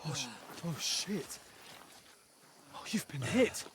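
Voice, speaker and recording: A man's voice: a little high, lively intonation, slow pace, noisy background. An actor playing an anxious, sardonic young medieval man, speaking with agitated urgency in a game cutscene. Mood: alarmed. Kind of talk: video game cutscene acting.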